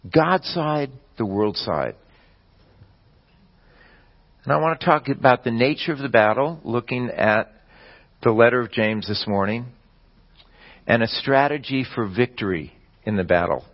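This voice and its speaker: Man preaching a measured sermon. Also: medium pitch, lively intonation, lo-fi sound. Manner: measured teaching delivery with occasional slips and restarts.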